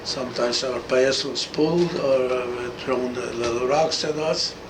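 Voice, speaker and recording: An elderly man speaks with a Hungarian accent in a mid-range voice. He is being interviewed as a survivor and gives short, halting replies. Clear noise runs behind him on the recording. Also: medium pitch, fairly even intonation. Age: 80s. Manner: brief, halting answers. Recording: clearly noisy room recording.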